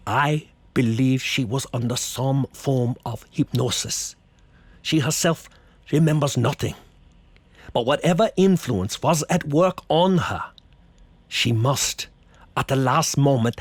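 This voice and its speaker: An actor playing a grave, commanding vampire hunter in a horror radio drama, husky, deliberate and theatrical. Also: male voice, mid-range voice, no room sound.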